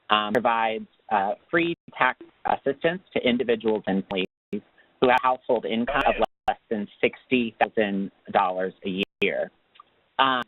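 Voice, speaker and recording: A man with a fairly low voice gives an informational webinar talk, reading out details in measured, formal phrases with pauses between them. The sound is dull and lo-fi, completely dry, as on a conference call. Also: dry recording. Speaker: nonprofit program manager as webinar speaker. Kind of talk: semi-scripted informational presentation.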